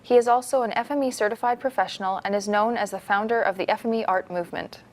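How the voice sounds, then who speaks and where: A woman announcer reading a scripted introduction in a smooth, even manner. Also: mid-range voice, quick pace.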